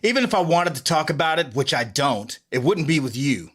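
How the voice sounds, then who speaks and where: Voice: medium pitch, very animated, a little fast, a bit bright. A performer reading an exasperated, bitter husband in a comic table read, sounding irritated, sarcastic and defensive. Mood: irritated, guarded.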